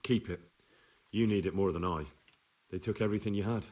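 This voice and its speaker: An actor voicing a young medieval soldier in a video game, husky, earnest and plain-spoken, with a stubborn streak. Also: male voice, lowish voice, brisk, mellow, lightly acted.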